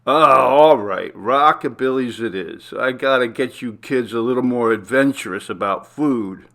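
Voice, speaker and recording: Man voicing an easygoing dad, affectionate, gravelly edge, medium pitch, storybook character. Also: a little slow, a bit bright. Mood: amused, indulgent. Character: affectionate, easygoing husband and father, adult man.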